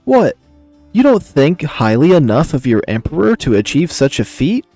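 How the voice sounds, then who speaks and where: A man's voice: on the high side, very animated, normal speed, dark tone, soft background music. A man voicing a proud, playful young emperor in a visual novel, boastful and easily indignant. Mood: mock offended. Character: proud young male emperor, cocky, playful, flustered when teased.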